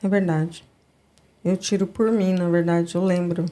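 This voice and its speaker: Slow-spoken woman host. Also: fairly low pitch, fairly even intonation. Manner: soft, affirming responses. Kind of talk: interview conversation. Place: studio.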